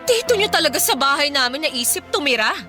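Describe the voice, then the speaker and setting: An indignant young breadwinner daughter in a radio drama. The voice is very high and animated, stern and outspoken, with light music behind it. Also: female voice, a little fast. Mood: disbelieving. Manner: confrontational, indignant. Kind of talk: Filipino radio drama acting.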